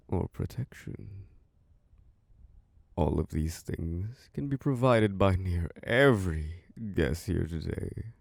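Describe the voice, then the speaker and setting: A man voicing an ancient vampire lord, deep and gravelly, purring slowly and seductively into a dry, close microphone. His pitch rolls and swoops with lively control, and the tone stays dark and mellow. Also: dry recording, heavily acted. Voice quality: low gravelly voice. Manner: slow, seductive, soft chuckles. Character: ancient charming vampire lord, male, possessive. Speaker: castle host. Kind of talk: ASMR audio roleplay.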